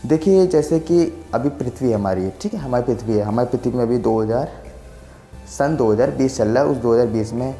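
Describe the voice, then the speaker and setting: A man's voice: mid-range voice, brisk, dark tone, echoey room, in a large hall, faint music behind. A man in his twenties casually explaining a science idea, rambling and often checking that the listener follows. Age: 20s. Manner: rambling, repeats filler checks for agreement. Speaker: science explainer channel host. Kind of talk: casual explainer talk to camera.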